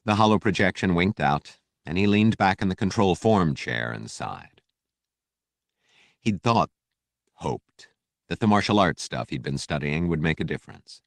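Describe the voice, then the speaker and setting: A man's voice: deep voice, lively intonation, unhurried, no room sound. A man narrating a novel as a scripted, dramatic audiobook reading. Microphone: close studio microphone.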